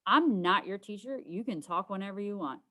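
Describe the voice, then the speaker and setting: A woman's voice: medium pitch, lively intonation, moderate pace, fairly bright tone, close to an everyday voice. Woman acting a young schoolgirl, sarcastic, curt, secretive, children's storybook. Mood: dry, scornful. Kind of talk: children's storybook read-aloud.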